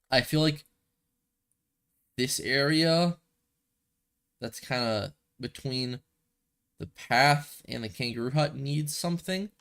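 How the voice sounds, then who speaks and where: A man's voice: mid-range voice, fairly bright tone. A young man with a stuffy nose streaming a building game, thinking aloud in a rambling, casual way at a desk microphone. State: a little stuffy from a cold. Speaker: gaming streamer. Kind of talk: casual gameplay commentary.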